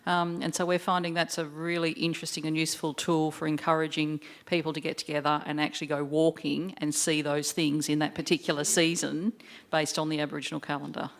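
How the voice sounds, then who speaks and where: A woman at a workshop explains an idea in a steady, informative way. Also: low voice, a little fast. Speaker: workshop participant.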